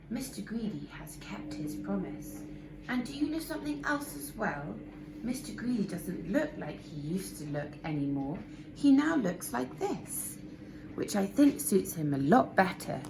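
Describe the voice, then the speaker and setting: A woman narrating a children's story expressively, with deliberate, dramatic emphasis. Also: mid-range voice, big pitch swings. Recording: noisy amateur recording, clear background noise.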